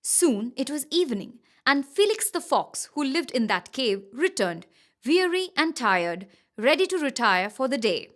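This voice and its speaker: A woman reading scripted children's stories as an expressive, dramatic storyteller. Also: high-pitched, measured pace. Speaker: storyteller, narrator.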